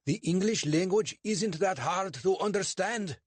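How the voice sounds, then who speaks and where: A man voicing a portly, suspicious English constable who questions in a sharp, demanding way. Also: high-pitched, a little slow, husky, no echo, voice pushed up.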